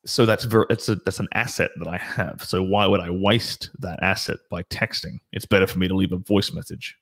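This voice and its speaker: Deep, husky-voiced confident man. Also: fairly even intonation, brisk, fairly bright tone. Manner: confident, rambling with restarts. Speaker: dating coach guest. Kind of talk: casual podcast chat.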